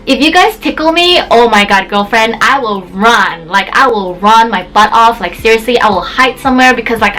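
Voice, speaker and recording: A woman's voice: fairly high pitch, fairly bright tone, low-quality recording. A young woman in her twenties, raised in Indonesia and a native Indonesian speaker, chatting casually to her camera about herself with lots of "like" fillers. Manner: chatty, bubbly, many "like" fillers. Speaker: beauty vlogger.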